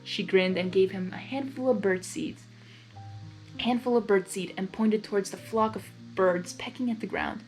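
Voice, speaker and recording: A woman narrating fan fiction in a blind reading, expressive, with her own giggly asides. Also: mid-range voice, moderate pace, soft background music, rough recording.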